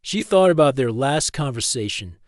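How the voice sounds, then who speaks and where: A man reading a scripted story as an audiobook-style narrator, in a measured, dramatic storytelling manner. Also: mid-range voice, expressive, no echo, clean studio sound.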